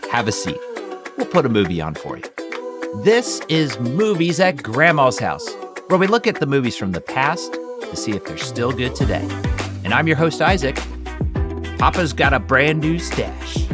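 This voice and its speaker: A man's voice: medium pitch, big pitch swings, normal speed, music in the background. A middle-aged man with an American accent hosting a casual podcast, chatty, upbeat and enthusiastic. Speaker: podcast host.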